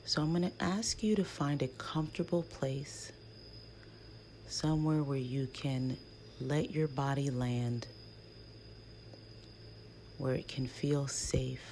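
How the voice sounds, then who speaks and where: A woman leading a guided meditation in a hushed, soothing, breathy voice with long pauses between phrases. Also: a bass voice, steady tone. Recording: faint background noise.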